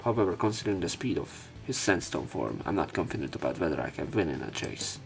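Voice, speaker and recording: A man's voice: low voice, lively intonation, fast talker, gravelly, faint music behind. A man voicing a determined, strategic young student hero from a visual novel in an earnest, dramatic manner. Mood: worried.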